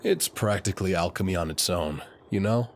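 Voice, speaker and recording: A man playing a suave, teasing, flirtatious military officer and alchemist in an intimate roleplay audio, speaking smoothly. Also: deep voice, slight background noise, lightly acted. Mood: teasing, admiring. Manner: smooth, unhurried, playfully teasing.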